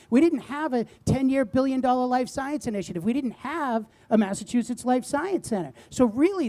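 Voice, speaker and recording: An excitable middle-aged man with a Boston accent answers on a conference panel. His voice is warm and mellow. He punches his points home and asks himself rhetorical questions. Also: very high-pitched. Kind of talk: animated panel talk.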